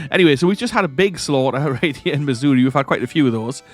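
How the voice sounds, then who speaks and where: A man's voice: medium pitch, animated, fast, faint music behind. A man with a stuffy nose from a cold, giving casual commentary over a strategy game. He rambles, corrects himself and chuckles often. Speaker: strategy game let's-play host.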